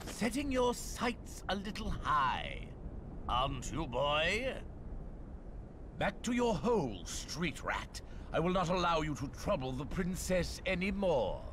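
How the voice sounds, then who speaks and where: Slow, swooping, haughty sorcerer villain. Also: male voice, on the high side, sing-song, background noise. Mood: smug menace. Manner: theatrical, drawling, menacing. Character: haughty, scheming male sorcerer villain, middle-aged. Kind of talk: video game cutscene acting.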